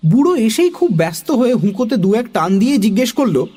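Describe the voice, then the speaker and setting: Guy reading a kids story, super high voice, very swoopy and expressive, bit of music behind. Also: brisk, breathy edge. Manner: expressive, theatrical. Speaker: narrator, also voicing a young boy. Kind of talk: animated children's story narration.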